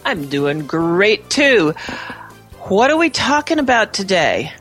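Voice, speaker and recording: A woman co-hosting a business podcast who jumps in with lively interjections and prompting questions. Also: medium pitch, slow, soft background music. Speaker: podcast co-host. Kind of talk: conversational business talk.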